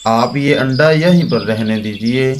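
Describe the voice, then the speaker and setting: A man's voice: mid-range voice, a little slow, slight background noise, lightly acted. A man voicing an earnest, resolute male crow in a Hindi children's cartoon. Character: concerned, resolute male crow, helpful friend. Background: music.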